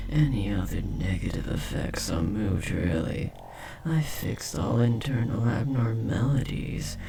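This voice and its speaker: A man voicing a possessive insectoid parasite, a doting yet menacing lover, in a breathy, gravelly whisper with slow, theatrical crooning for an audio horror roleplay. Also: lowish voice, full character voice. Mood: defensive, devoted.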